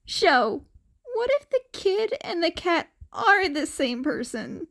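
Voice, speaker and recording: Woman acting an eager, high-voiced husband. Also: sing-song, slow, a bit bright, exaggerated voice. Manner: eager, speculative. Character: eager, imaginative husband, adult man.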